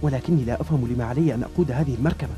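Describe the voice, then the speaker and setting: An actor playing a hot-headed, frustrated young martial artist in a dubbed animated action series. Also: male voice, medium pitch, racing, faint music behind, lightly acted. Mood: exasperated.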